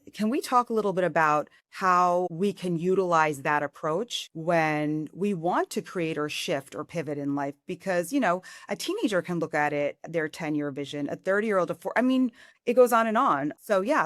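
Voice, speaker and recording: A woman's voice: fairly low pitch, animated, moderate pace, fairly bright tone, no room sound, clean studio sound. A woman in her forties hosting an interview, talking in an effusive way and often restarting her sentences. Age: 40s. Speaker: podcast host. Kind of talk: casual interview conversation.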